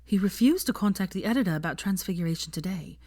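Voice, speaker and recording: A woman's voice: medium pitch, expressive, quick pace, darkish tone, dry recording, clean studio sound, close to an everyday voice. A woman voicing an earnest, proud, prickly young woman in an audiobook story, intense and emphatic. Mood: dark, sarcastic. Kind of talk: fan fiction audiobook character voice.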